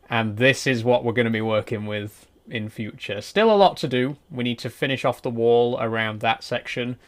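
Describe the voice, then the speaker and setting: A man in his twenties streaming a building game, chatting casually and answering viewers' messages as he plays. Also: mid-range voice. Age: 20s. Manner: relaxed, rambling, answers chat messages. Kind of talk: casual live-stream chat while playing.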